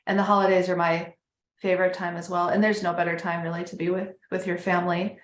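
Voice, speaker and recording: A woman's voice: lowish voice, level tone, fast talker, echoey room. A woman hosting a podcast, chatting casually as she interviews a guest. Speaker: podcast host.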